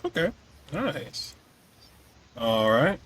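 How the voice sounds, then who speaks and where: A man's voice: on the high side, big pitch swings, drawn-out words, a bit bright. A man hosting a casual podcast interview in an easygoing way with short reactions. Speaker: podcast host.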